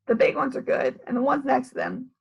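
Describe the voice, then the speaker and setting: A woman's voice: high-pitched, flat delivery, fairly quick, vocal fry, darkish tone, husky, heavily acted, low-quality recording. A woman voicing a teasing, persuasive male teacher who haggles in a storybook. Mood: haggling.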